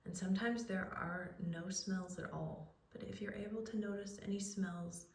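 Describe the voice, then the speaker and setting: A woman leading a guided relaxation, speaking in a soothing, unhurried way with pauses as she gives gentle instructions. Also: mid-range voice, fairly even intonation. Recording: roomy echo, distant microphone.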